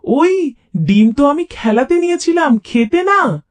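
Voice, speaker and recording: Cheeky village boy as a narrator plays him: resourceful, slow, swooping delivery. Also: high-pitched, sing-song, pitched above the performer's own voice. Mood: panicked.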